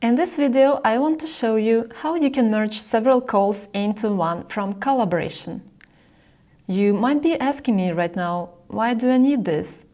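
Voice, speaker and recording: A woman presenting a software tutorial, explaining each step directly to the viewer as she narrates a screen recording. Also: on the high side, normal speed.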